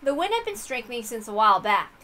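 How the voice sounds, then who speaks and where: A woman with a high-pitched, bright voice reads aloud from a visual novel. Her delivery is scripted narration, told dramatically as a story. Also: big pitch swings, fast.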